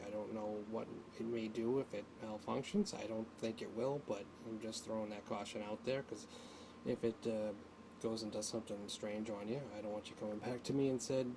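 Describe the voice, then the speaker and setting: A man giving an unscripted hands-on tutorial, speaking haltingly as he thinks aloud while working, as a hobbyist would. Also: medium pitch. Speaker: hobbyist demonstrating an electronics repair. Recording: noticeable background noise.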